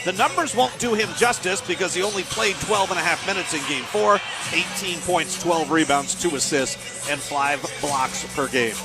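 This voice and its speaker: A man's voice: on the high side, moderate pace. An American man hosting live basketball radio, giving pregame commentary. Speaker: radio basketball play-by-play host. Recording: live arena broadcast with music behind. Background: music and arena sounds.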